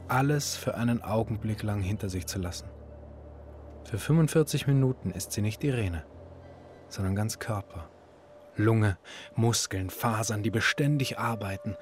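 Ein Schauspieler als ernster Erzähler eines psychologischen Hörspiels, der literarisch und gemessen spricht. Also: male voice, on the low side, darkish tone, slight background noise, lightly acted. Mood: intense, measured. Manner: measured, literary, serious. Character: grave male narrator of a psychological radio drama. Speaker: narrator. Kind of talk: literary radio drama narration. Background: music.

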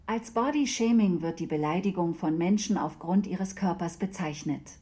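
Female actor as a smart-home assistant: mellow tone, neutral, measured, emotionless, precise radio-play answers. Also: lowish voice, natural voice. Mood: neutral, polite.

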